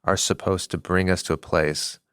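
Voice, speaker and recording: A man's voice: sits low, fairly even intonation, a bit bright, clean tone, dry recording, clean studio sound. A man co-hosting a podcast, speaking casually and searching for his words, and also reading the show's closing credits. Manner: halting, searching for words with fillers. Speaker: podcast co-host. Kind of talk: casual conversation and show outro.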